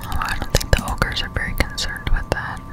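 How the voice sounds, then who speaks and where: A young woman whispering breathily up close to the mic, giving rambling ASMR gameplay commentary as she muses to herself. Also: fairly quick. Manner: rambling, musing aloud to herself. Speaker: gameplay streamer. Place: indoors at a home computer. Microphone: close-up desktop condenser mic.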